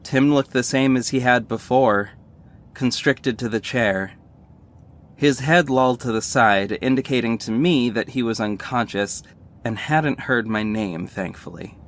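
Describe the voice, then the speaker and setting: A man's voice: medium pitch, measured pace, lightly acted. A man playing a wry young superhero who narrates his own mission in scripted first person. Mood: tense, wry. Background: music bed.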